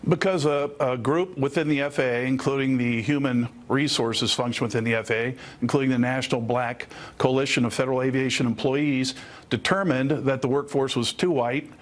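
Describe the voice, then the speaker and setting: Mid-pitched man, measured interview guest. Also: steady tone. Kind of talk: explanatory news interview.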